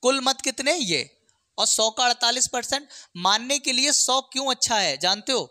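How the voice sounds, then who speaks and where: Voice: medium pitch, expressive, moderate pace, pushed and bright, dry recording, clean studio sound. A maths teacher explains exam problems step by step, often checking in with short questions.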